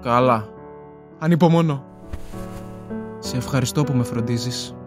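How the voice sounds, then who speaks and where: A man's voice: mid-range voice, music in the background, natural voice. Audio drama: actor as loving, eager man, tender and coaxing with his sweetheart.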